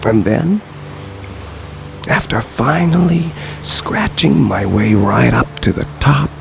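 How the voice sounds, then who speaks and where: An actor in an audio drama playing a weary, bitter, self-loathing man, brooding aloud in deliberate, eloquent phrases. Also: medium pitch, lively intonation, gravelly, noisy background, full character voice. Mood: bitter despair.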